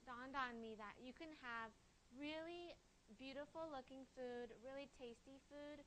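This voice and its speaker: A woman's voice: high-pitched, a little slow, a bit bright, a little hiss or hum, poor audio. A woman in her twenties giving conversational answers as a guest in an interview. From California, Taiwan and Shanghai. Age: 20s. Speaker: guest, holistic health coach.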